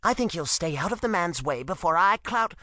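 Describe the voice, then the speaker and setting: A man's voice: high voice, animated, brisk, bright, forceful tone, pitched above the performer's own voice. A man voicing a blunt, grumbling woman warrior in an audiobook.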